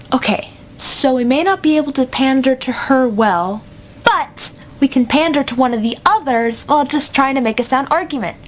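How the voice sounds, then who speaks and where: A woman playing a bossy, sardonic teenage debate team captain in an amateur radio drama, brisk and dry. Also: expressive, rough recording. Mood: dry, focused. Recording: clear background noise with low rumble.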